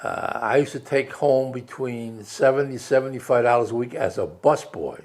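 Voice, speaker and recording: An elderly man of about ninety tells stories from his past in a chatty, wry way. His voice sits at an ordinary middle pitch. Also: moderate pace, darkish tone. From New York City. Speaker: veteran interviewee. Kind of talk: oral history interview.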